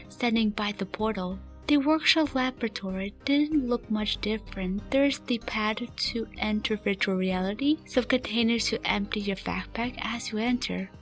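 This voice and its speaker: A woman reading scripted story narration as the narrator of a fan radio play. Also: fairly high pitch. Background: music.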